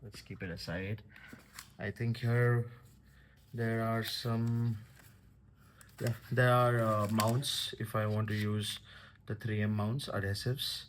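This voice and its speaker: A man giving casual, halting commentary as a vlogger unboxing a product. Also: fairly low pitch, little pitch movement, slight background noise.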